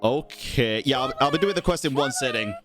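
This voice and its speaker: A man's voice: mid-range voice, lively intonation, fairly quick, a bit bright. A man commentates on a video game as a streamer. His mid-range voice moves up and down with lots of animation, and his delivery is slangy and jokey. Game music plays faintly behind him. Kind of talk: live game commentary with dramatic reading of on-screen text.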